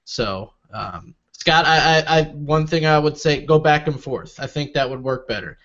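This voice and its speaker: Relaxed man in his thirties, muffled. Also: on the high side, moderate pace. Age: 30s. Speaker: interview guest. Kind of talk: casual interview talk.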